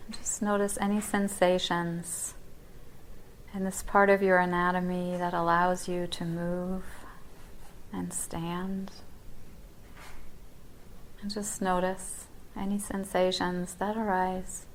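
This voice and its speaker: Woman, yoga teacher, soft unhurried meditation guidance, slightly low voice, drifting into whispers. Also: little pitch movement, a little hiss or hum, low-quality recording. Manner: soft, gentle and unhurried, trailing into near-whispers. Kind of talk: guided body scan meditation. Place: yoga class.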